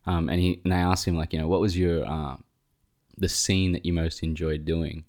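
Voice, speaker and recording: Young male podcast co-host with a very low voice, loose and rambling, swears casually. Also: little pitch movement, moderate pace, darkish tone. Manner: rambling, swears freely, many fillers. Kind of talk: casual film review chat.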